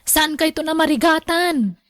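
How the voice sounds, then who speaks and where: A headstrong young single mother in a radio drama, high in pitch and closely, dryly recorded. She sounds carefree and defiant, brushing others off. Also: measured pace, fairly bright tone, no echo. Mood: dismissive. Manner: defiant, dismissive. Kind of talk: Ilocano radio drama acting.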